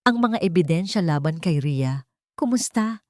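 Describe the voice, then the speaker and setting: A woman's voice: fairly low pitch, expressive, normal speed, darkish tone, no echo, studio quality, natural voice. A woman voicing a determined wronged wife and doctor, cool and commanding, in an audio drama. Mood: stern. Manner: firm, commanding.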